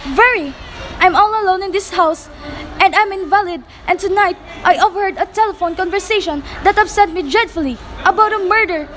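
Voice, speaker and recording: A woman's voice: extremely high pitch, a little fast, a bit bright, a faint crowd, slight background noise. A woman playing a demanding, nervous invalid wife in an amateur radio play, imperious, frantic and melodramatic. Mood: nervous, indignant.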